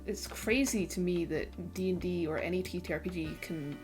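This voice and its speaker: A woman's voice: fairly low pitch, moderate pace, over music. A woman hosting a podcast interview in a casual, conversational style. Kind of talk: casual chat.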